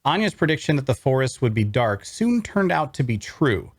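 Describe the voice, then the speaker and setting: A man's voice: medium pitch, very animated, moderate pace, no room sound, studio quality. A man, a voice actor, reading first-person story narration from a script.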